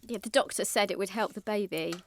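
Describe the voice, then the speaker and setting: A woman's voice: medium pitch, brisk, a little hiss or hum, lightly acted. An actress playing a wary, indignant young working woman, an eighteenth-century patient who complains bluntly in an audio drama. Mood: wary, skeptical.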